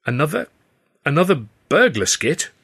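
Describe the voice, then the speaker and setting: A man's voice: on the high side, big pitch swings, moderate pace, vocal fry, a bit bright, husky, voice pushed up, heavily acted. A man voicing a prosperous, confident male theatrical agent in an audiobook, with a hearty manner. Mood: puzzled. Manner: hearty, self-assured.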